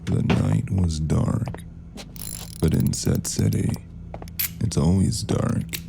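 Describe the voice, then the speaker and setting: A man playing a brooding, mysterious noir storyteller, narrating in ominous, measured, terse phrases. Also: low voice, creaky, background noise, full character voice. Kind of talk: slow noir scripted narration.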